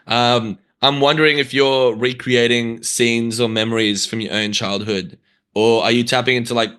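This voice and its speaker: A man's voice: medium pitch, fairly even intonation, moderate pace, a bit bright, smooth. A man hosting a casual interview, speaking in thoughtful, conversational phrases. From Australia. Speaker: podcast host.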